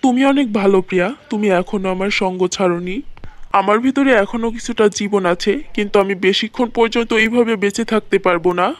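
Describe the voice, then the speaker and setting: A woman's voice: medium pitch, fairly quick, lightly acted. A woman voicing a kind, weary magical tree in an earnest manner for a cartoon fairy tale. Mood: weak, grave.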